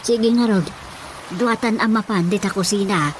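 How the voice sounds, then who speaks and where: A woman's voice: mid-range voice, moderate pace, a little hiss or hum, natural voice. A woman with a Filipino accent playing an anxious, superstitious rural wife and mother in a radio comedy drama. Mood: uneasy. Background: music.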